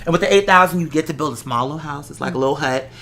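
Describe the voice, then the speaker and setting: An American man hosting a show, chatting casually in an animated, emphatic way and repeating points to drive them home. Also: on the high side, very fast. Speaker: show host. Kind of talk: casual conversational talk.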